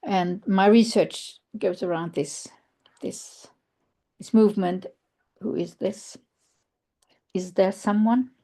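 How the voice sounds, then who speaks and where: A woman with a German accent, a non-native English speaker, giving an online conference presentation in a halting, thoughtful way, with many fillers and restarts. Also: mid-range voice, steady tone, dark tone. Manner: thoughtful, searching for words. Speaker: researcher presenting.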